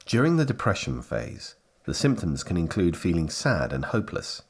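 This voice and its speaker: Guy narrating a training film, really low husky voice, measured and scripted. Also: huge pitch swings.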